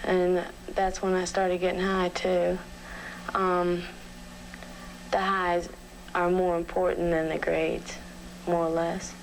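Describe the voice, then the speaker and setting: Slow, creaky teenage girl, subdued. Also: lowish voice, completely flat delivery. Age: teens. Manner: halting, subdued. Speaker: interviewed student marijuana smoker. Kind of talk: hesitant casual documentary interview. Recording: noisy old documentary film soundtrack.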